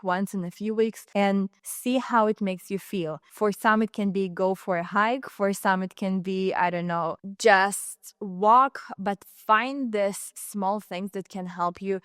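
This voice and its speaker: Young Israeli-accented woman hosting a solo podcast; earnest, rambling delivery, crisp voice, dry room. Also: mid-range voice, no room sound. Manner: rambling and earnest, many restarts and fillers. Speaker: podcast host. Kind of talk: casual solo podcast talk.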